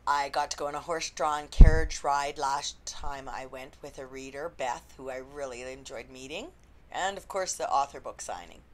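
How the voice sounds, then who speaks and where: A woman, an indie romance author, chatting unscripted to camera with a slightly husky voice and lots of ums. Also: extremely low pitch, moderate pace, low-quality recording. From Canada. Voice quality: slightly husky after illness. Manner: chatty, rambling, frequent ums. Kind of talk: unscripted vlog chat.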